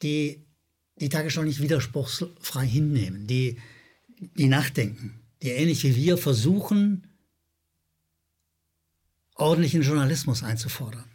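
A man with a slight Rhineland German accent speaks as the host of a critical commentary show. His voice sits a little higher than most men's. He addresses his viewers with sardonic emphasis, often repeating a word to drive it home. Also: animated, a little slow, pauses often, darkish tone, slightly rough.

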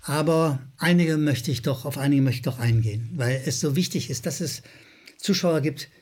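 A man with a slight Rhineland German accent speaks as the host of a critical commentary show. His voice sits a little higher than most men's. He addresses his viewers with sardonic emphasis, often repeating a word to drive it home. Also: moderate pace, darkish tone.

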